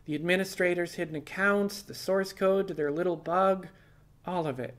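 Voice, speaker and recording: A man's voice: sits high, steady tone, moderate pace, voice pushed up, lightly acted. A man voicing a defiant, earnest young female hacker on the run in an audiobook, explaining herself with wry asides. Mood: pleading, defensive. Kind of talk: audiobook character dialogue.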